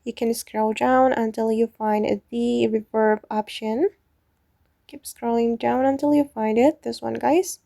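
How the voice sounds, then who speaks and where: A woman's voice: a little high, steady tone, unhurried, gravelly, mellow, no echo, studio quality. A woman hosting a tutorial, narrating instructions step by step in a scripted style. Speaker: tutorial host.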